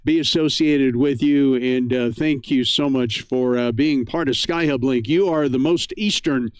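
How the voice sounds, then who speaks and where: An American man hosting a ham radio net in a casual, halting, effusively thankful way. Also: medium pitch, smooth, no echo.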